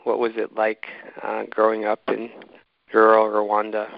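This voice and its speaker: Low-voiced, gravelly male interviewer, halting delivery. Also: monotone, dry recording, muffled recording. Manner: halting, searching for words. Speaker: interviewer and host introducing a guest. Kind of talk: hosting an online community talk.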